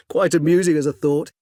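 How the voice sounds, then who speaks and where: An actor playing an urbane, erudite ancient male vampire in a video game, speaking in eloquent, formal, precise phrases with a wryly measured manner. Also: fairly high pitch, mellow, no echo, studio quality, lightly acted. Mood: wry, dry. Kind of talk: video game character acting.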